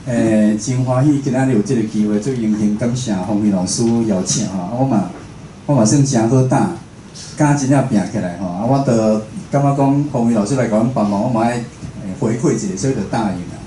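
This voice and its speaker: A man's voice: on the low side, brisk, warm tone. A man with a southern Taiwanese accent, a radio presenter giving an informal talk as guest speaker. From Chiayi, southern Taiwan. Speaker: guest speaker, radio station head and programme host. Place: indoor room before a live audience. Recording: echoey room with clear background noise.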